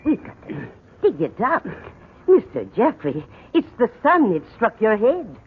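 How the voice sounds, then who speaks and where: An actress playing a sceptical, exasperated country housekeeper in a no-nonsense manner, in 1940s radio drama style. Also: female voice, fairly high pitch, huge pitch swings, unhurried, full character voice. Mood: scolding. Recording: muffled, crackly 1940s radio recording.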